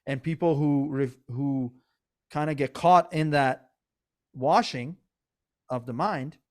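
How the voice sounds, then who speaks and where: A man's voice: medium pitch, takes it slowly. Earnest rambling man with a Persian accent. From Iran. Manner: earnest, rambling, many restarts. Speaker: podcast co-host. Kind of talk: casual political chat.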